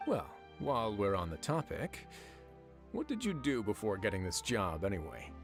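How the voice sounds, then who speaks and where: A wry warden from a game with a dry, teasing manner. His pitch moves lively, and game music sits clearly behind him. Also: normal speed. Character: wry prison warden man, dry humor. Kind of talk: game dialogue acting.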